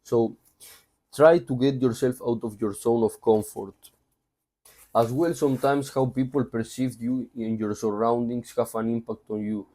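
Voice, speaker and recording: A man's voice: medium pitch, fairly even intonation, measured pace, dark tone. A man with a Spanish accent summarizing a book in a loose, conversational way, full of asides. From Spain. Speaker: book reviewer. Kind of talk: casual book summary talk.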